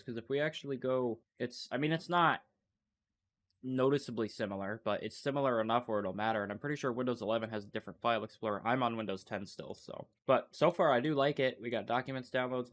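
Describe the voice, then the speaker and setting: Stuffy-nosed man chatting through a demo. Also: mid-range voice. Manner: thinking aloud, loose and unscripted. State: stuffy, congested from a cold. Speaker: tech channel host. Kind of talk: casual screen-recording commentary.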